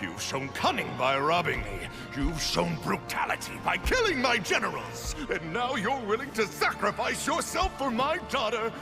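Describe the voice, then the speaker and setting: A man's voice: high-pitched, wildly swooping pitch, normal speed, hardly any pauses, music in the background, full character voice. An actor playing a menacing, grandiose devil king and possessive father in a video game cutscene. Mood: gleeful, triumphant. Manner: grandiose, swinging between menace and gleeful exclamation.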